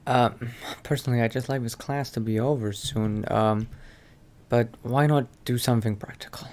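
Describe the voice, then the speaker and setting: A man roleplaying a polite, secretive newcomer adventurer in a video game, speaking in a halting, low-key way. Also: fairly even intonation, a little mellow, close to an everyday voice. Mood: reluctant, guarded.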